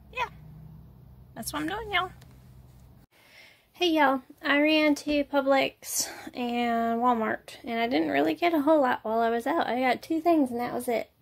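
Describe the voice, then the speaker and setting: A woman's voice: on the high side, expressive, unhurried, vocal fry, long pauses, a little hiss or hum. A woman, chatting casually to a phone held at arm's length, congested and a little nasal, tired, rambling with lots of fillers. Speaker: vlogger. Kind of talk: casual chat to camera. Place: home kitchen and parked car.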